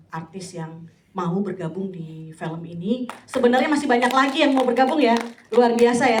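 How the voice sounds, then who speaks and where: A woman's voice: medium pitch, darkish tone. A woman giving informal remarks as a writer and spokesperson at a press conference. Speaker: novelist and film project spokesperson. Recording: echoey room, distant mic, faint noise.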